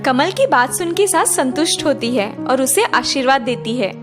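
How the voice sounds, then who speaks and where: A woman's voice: high voice, animated, normal speed, over music. A woman reading scripted story narration.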